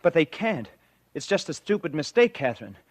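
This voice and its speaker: A man's voice: fairly high pitch, very animated, fairly quick, slight background noise. An actor playing a guilty, scheming man who confides his crime in 1940s radio suspense style. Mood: anxious, distressed. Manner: confessional first-person narration. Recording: vintage 1940s radio with loud music.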